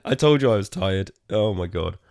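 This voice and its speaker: Casting: weary English podcaster, very low voice, hoarse, rambling and self-mocking, dry close sound. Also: male voice, animated, normal speed, a little mellow, no room sound. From England. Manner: rambling, self-deprecating, swears casually. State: tired and hoarse. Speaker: podcast host. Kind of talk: casual solo podcast chat.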